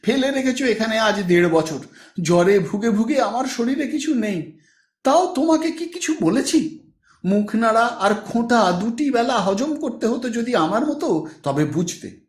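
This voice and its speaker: Man playing a tearful, high-pitched reproachful wife. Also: animated, measured pace. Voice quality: tearful, plaintive. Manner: pleading, nagging complaint. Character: weary, weeping, reproachful middle-aged wife. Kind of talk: audiobook character acting. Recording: echoey room.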